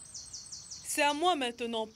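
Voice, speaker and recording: A woman voicing a thoughtful, careful little animal learner in an educational cartoon, speaking deliberately, with noisy birdsong ambience. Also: sits high, a bit bright.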